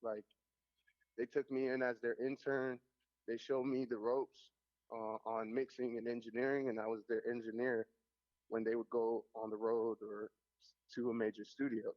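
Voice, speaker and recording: Young Caribbean producer guest, easygoing rambling talker, medium-pitched voice, muffled lo-fi call line. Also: male voice, normal speed. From Caribbean, Jamaican and Haitian. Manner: relaxed, rambling, many fillers and restarts. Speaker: music producer, interview guest. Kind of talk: casual radio interview. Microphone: remote line, could not hear host.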